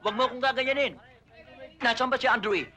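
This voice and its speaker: An actor playing a greedy, fast-talking hustler who manages a would-be stunt double and haggles hard over money. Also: male voice, very high voice. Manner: haggling, bossy, quick to shout. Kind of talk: Filipino comedy film acting.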